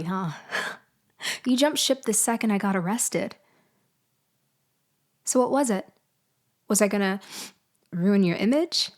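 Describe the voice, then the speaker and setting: A woman performs a vengeful ex-girlfriend, newly out of prison, in an intimate audio roleplay. She speaks slowly at a medium pitch, leaving loaded pauses. Her words are pointed and sardonic, with a mock-sweet edge over the bitterness. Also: darkish tone, lightly acted. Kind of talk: girlfriend ASMR roleplay acting.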